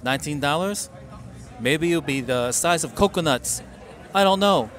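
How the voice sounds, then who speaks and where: A middle-aged man reviewing food in a casual vlog from a restaurant booth, making wry, deadpan remarks into a close mic. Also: fairly high pitch, moderate pace, a faint crowd. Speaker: food vlogger. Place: dim restaurant booth, indoors.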